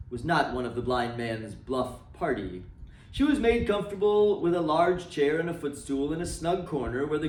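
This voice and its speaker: Man reading a classic tale expressively. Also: on the high side. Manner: expressive, swooping phrasing. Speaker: reader for a library. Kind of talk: dramatic storybook reading. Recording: roomy, distant mic.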